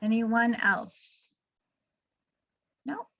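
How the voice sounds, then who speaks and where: A woman's voice: fairly high pitch, expressive, very slow, a bit bright. A woman hosting a club meeting over a video call, upbeat and encouraging. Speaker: meeting host.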